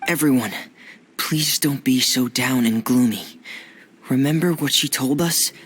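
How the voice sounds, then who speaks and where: A man voicing a gentle, reserved young adventurer in a video game, soft and breathy, earnest and supportive. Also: mid-range voice, unhurried. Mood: gentle, encouraging.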